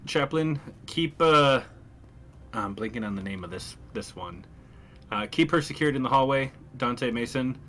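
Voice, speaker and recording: A man with an average-pitched voice plays a tabletop roleplaying game. He speaks casually in character, handing out orders to the group as its leader. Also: expressive, measured pace, pushed and bright. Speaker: tabletop game player, party captain.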